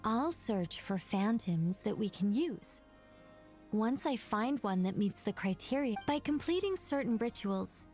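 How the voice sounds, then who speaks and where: A woman's voice: mid-range voice, a little mellow, lightly acted. A woman voicing an eager young scholarly mystic in an anime-style video game, wordy and flustered, trailing off and correcting herself.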